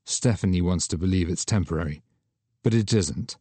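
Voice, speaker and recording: A man voicing a subdued young woman, a retired model, who answers in a quiet, understated way. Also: deep voice, normal speed, slightly rough, no room sound.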